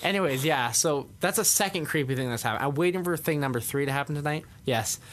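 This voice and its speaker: A young man co-hosting a casual podcast, telling stories in a quick, animated way. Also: on the high side. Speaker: podcast co-host. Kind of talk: casual chat.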